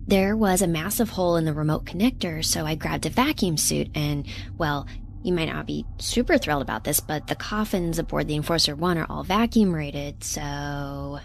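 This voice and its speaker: A woman's voice: low voice, moderate pace. An actress playing an earnest, literal-minded young woman researcher in a sci-fi audio drama, speaking conversationally and a bit hesitantly. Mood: casual. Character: earnest, awkward young woman researcher defecting from corporate ship. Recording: clear ambient noise behind.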